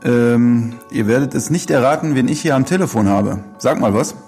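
A man hosting a podcast in a relaxed, teasing way, greeting listeners and chatting with a guest on the phone. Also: medium pitch, normal speed, faint music behind. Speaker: podcast host. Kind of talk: casual podcast chat. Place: studio, talking to a guest by phone.

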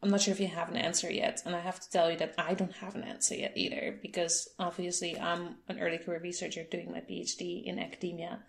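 Chatty woman doing the interview, bit of vocal fry, laughs a lot. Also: lowish voice, level tone, fast talker, hardly any pauses. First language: Dutch. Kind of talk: casual conversation.

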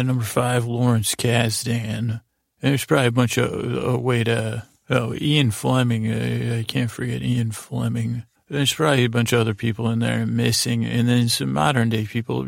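Very creaky, rambling male podcast host. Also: medium pitch, no room sound, clean studio sound. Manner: meandering, halting, stammering, repeats words and goes on tangents; lulling, soothing delivery. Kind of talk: rambling intro to a bedtime story podcast.

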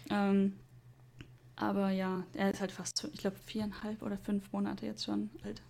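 A woman's voice: mid-range voice, flat delivery, moderate pace, creaky, a little mellow. Young woman, casual chatty storyteller, quotes others, light laughs. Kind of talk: casual chat.

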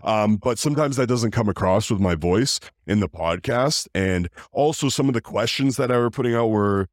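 A man who hosts a podcast, talking casually in a deep voice, rambling with fillers and restarts. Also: expressive, normal speed, dry recording, studio quality. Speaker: podcast host. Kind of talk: casual interview chat.

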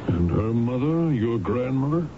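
An American actor playing an earnest, courteous, persistent lawyer and husband in a radio drama, speaking in a polite, measured, probing manner. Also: male voice, mid-range voice, very animated. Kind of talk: old-time radio drama acting. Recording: noisy, narrow-band old radio recording.

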